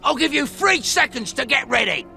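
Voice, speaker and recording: A brutish bandit boss from a video game, very gravelly and pitched high for a man. He shouts with impatient menace over loud music. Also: sing-song, moderate pace, exaggerated voice. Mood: menacing. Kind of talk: video game character acting.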